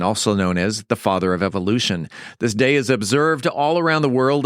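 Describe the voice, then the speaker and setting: A man's voice: mid-range voice, fairly bright tone, dry recording. Man reading local podcast news, close dry sound. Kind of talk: scripted news narration and sponsor reads.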